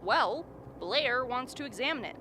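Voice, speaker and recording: A woman's voice: high voice, lively intonation, unhurried. A woman playing a knowing, matter-of-fact expedition scientist in a radio drama. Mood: wry, matter-of-fact. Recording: faint background noise.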